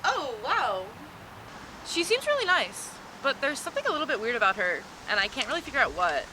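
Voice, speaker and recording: A skeptical young woman on a date played by an actress speaks high and with lively swings of pitch. She is casual and comic, easily exasperated, recorded in noisy surroundings. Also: fairly quick. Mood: puzzled, curious. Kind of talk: acted comedy sketch dialogue. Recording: noisy outdoor location sound.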